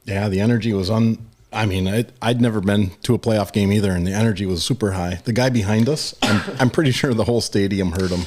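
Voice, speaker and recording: A man, slightly hoarse, co-hosting a podcast with playful teasing. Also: on the low side, steady tone, fast, a little mellow. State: a little hoarse. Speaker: podcast co-host. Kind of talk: casual banter.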